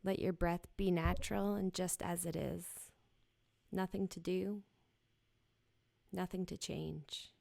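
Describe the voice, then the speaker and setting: A woman guiding a yoga nidra body scan in a gentle, soothing, unhurried manner with short, spaced phrases. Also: fairly low pitch, fairly even intonation, gravelly, dry recording. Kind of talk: guided meditation, slow body scan.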